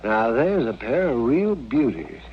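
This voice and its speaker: An actor playing a cantankerous, fussy older frontier doctor who scolds indignantly in an old radio western. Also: male voice, mid-range voice, huge pitch swings, a little slow. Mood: wry, challenging. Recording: muffled, noisy old radio recording.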